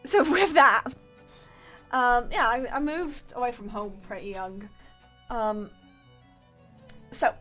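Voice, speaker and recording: Giggly young Polish woman streamer, slow. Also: a little high, a bit bright, faint music behind. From Poland. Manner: giggly, self-mocking, chatty. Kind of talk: casual storytelling to chat.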